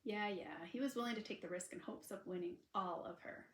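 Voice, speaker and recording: A woman author reading her own romance novel aloud in an expressive, informal storytelling style. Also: medium pitch, a little fast. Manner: informal asides to listeners between passages. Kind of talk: expressive audiobook narration of a sweet romance. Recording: unedited roomy home recording.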